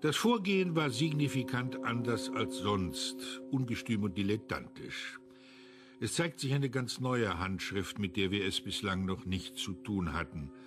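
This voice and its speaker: An actor playing a self-assured, analytical investigator in a German radio crime drama, speaking in a formal, clipped manner. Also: male voice, lowish voice, expressive, measured pace, music in the background. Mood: coolly analytical.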